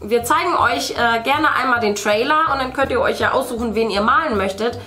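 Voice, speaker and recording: A woman's voice: a little high, fairly quick, over music. A middle-aged woman hosting a children's craft video, casually explaining things to young viewers. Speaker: host of a children's craft channel. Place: indoor room, seated at a craft table.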